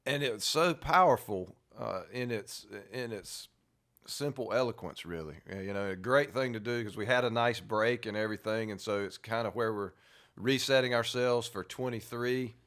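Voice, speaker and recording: A man's voice: mid-range voice, normal speed, a bit bright. A man hosting a podcast in a conversational style. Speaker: podcast host.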